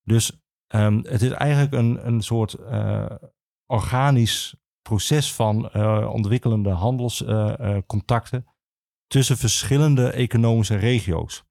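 A man explaining history as an interview guest, speaking haltingly with many filled pauses. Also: medium pitch, creaky, darkish tone, no room sound, studio quality. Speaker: archivist, podcast guest.

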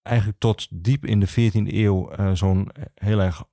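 A man the explains history informally as an expert guest, halting and repeating himself as he searches for words. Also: lowish voice, steady tone, mellow, dry recording, clean studio sound. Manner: hesitant, halting, with many pauses and repetitions. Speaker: archivist, podcast guest.

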